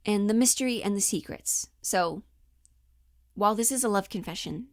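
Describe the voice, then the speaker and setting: A woman's voice: mid-range voice, moderate pace, dry recording, studio quality. A woman giving a casual, explanatory acting lesson, chatty and informal, often thinking aloud as she goes. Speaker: acting coach.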